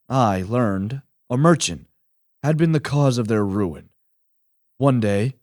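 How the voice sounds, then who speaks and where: A lonely creature , acted by a man, recounts his life for a radio drama. The voice is low and formal, with a brooding, reflective turn. The sound is close and dry. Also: darkish tone, no room sound. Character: lonely, thoughtful male creature telling his life story. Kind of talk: radio play narration.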